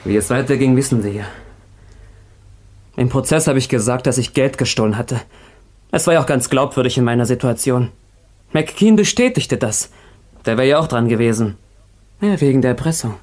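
An actor playing an agitated escaped convict in a radio crime drama, confessing in a halting, emotional way. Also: male voice, medium pitch, darkish tone, natural voice. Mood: bitter, downcast.

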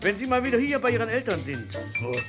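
A man playing a friendly, wistful elderly neighbour with a creaky voice in a radio crime drama. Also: very high voice, sing-song, quick pace, over loud music.

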